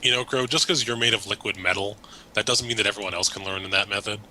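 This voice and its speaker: An American man co-hosting a casual podcast chat, teasing his co-host with quick, pedantic quips. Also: on the low side, steady tone, pushed and bright, a little hiss or hum. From United States.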